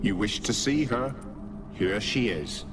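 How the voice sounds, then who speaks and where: An actor playing a sly, detached spirit servant in a video game, curt and coolly matter-of-fact. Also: male voice, fairly low pitch, expressive, slow. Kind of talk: video game cutscene acting. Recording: music under the voice.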